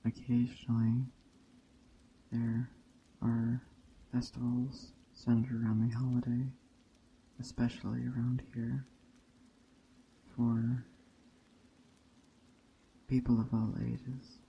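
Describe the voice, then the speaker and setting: A man speaking softly and unhurriedly with long pauses, in an ASMR roleplay as a gentle, hospitable witch. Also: medium pitch, monotone, gravelly, muffled recording, a little hiss or hum. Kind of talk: soft-spoken ASMR roleplay narration. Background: rain and forest ambience.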